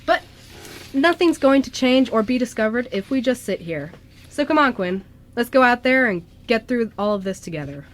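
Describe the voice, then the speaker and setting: A woman playing a gentle, earnest young male ghost in an amateur radio drama, speaking sincerely and haltingly to a friend. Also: on the high side, very animated. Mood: hopeful, determined.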